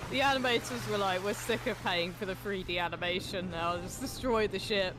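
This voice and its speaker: A woman's voice: mid-range voice, a little fast, music in the background, poor audio. A woman co-hosting a casual film reaction, sardonic and joking, with plenty of swearing. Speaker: podcast co-host. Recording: film soundtrack playing behind.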